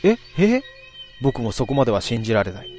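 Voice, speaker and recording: A man voicing an excited young girl who lays out her reasoning. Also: mid-range voice, brisk, soft background music, lightly acted. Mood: shocked.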